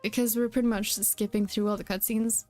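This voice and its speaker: A woman streamer talks casually while playing a game, with music behind her. Her voice sits at a middle pitch. She rambles a little, hesitating and correcting herself as she thinks. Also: level tone, brisk, a little mellow. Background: background music.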